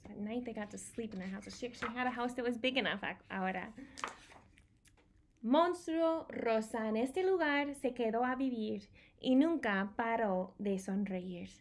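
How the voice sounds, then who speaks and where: Woman, thirties, bilingual picture-book reader for little kids; slightly high voice, very measured pace, expressive and explanatory. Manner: expressive, explaining and repeating key words for children. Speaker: children's storytime presenter. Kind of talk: bilingual picture-book read-aloud for young children. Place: small home room with bookshelves, indoors.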